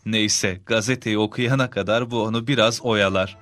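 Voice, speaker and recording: A man voicing an indulgent father of a small boy in a children's cartoon, expressive and theatrical. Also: on the low side, normal speed, faint music behind, lightly acted. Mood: muttering aside.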